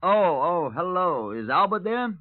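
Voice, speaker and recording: An actor playing a brisk, wry British Scotland Yard superintendent in a 1950s radio crime drama, authoritative and dry. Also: male voice, high-pitched, sing-song. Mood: brisk, urgent. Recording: tinny, noisy old radio recording.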